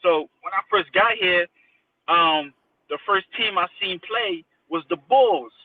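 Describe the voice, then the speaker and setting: A man's voice: high voice, a little slow, no echo. Tinny, phone-like man chatting casually. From Haiti, then Connecticut from age six. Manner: informal, slangy, repeats phrases for emphasis. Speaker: interview guest. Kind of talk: casual chat, personal recollection. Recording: tinny phone-like line, distant from mic.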